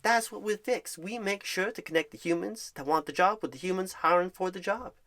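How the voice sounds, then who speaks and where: A mid-pitched voice performs an ASMR roleplay as a happy job recruiter and career coach. The delivery is encouraging and wordy, explaining things at length. Pet names soften the talk throughout. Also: brisk. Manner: reassuring, wordy, uses pet names like darling and honey. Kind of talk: ASMR roleplay, one side of a phone screening.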